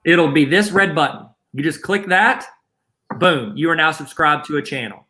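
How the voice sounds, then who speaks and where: A man with a Southern accent walks teachers through the basics in a chatty, joking way. His voice sits a bit high and sounds bright and forward. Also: moderate pace. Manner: joking, chatty, self-deprecating asides. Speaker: guest presenter teaching teachers. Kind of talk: casual instructional webinar.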